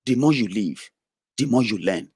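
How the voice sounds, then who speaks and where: A man's voice: lively intonation, moderate pace, husky, no room sound, studio quality. Blunt, profane man ranting. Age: 30s. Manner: blunt and profane, swinging from loud outbursts to low mutters. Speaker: online political commentator. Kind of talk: ranting monologue to followers.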